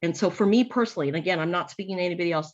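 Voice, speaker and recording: A woman's voice: on the low side, rapid-fire. Earnest woman with muffled lo-fi sound. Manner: earnest, thoughtful, with frequent restarts. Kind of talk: panel discussion.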